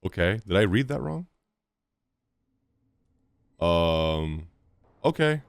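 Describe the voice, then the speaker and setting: Low-voiced, animated male game streamer. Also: takes it slowly, gravelly, smooth, no room sound, clean studio sound. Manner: enthusiastic, slangy. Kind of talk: casual gameplay commentary. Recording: game music behind voice. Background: game music.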